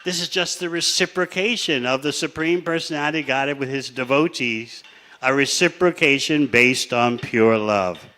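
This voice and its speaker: A man gives a scripture class in a relaxed, conversational way, often putting questions to his listeners. His voice is bright and clear, at a middle pitch. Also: moderate pace. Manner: conversational, rhetorical questions, occasional laughter. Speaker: spiritual teacher.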